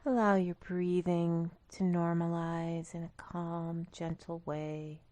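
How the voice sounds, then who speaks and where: A woman leading a guided meditation in a calm, gentle, instructional manner. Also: lowish voice, takes it slowly, no room sound. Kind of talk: guided body scan meditation.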